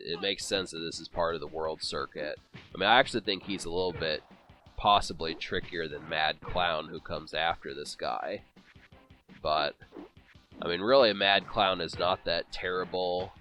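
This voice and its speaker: A man's voice: low voice, pushed and bright. A man with a cold, sniffling and congested, rambling through casual commentary on a boxing video game with many fillers and restarts. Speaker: let's play commentator.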